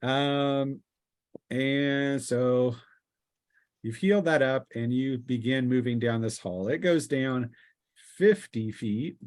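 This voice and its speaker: A man acts as game master for a tabletop role-playing session, with a middling pitch. He describes things off the cuff in a conversational, halting delivery, feeling his way through each sentence.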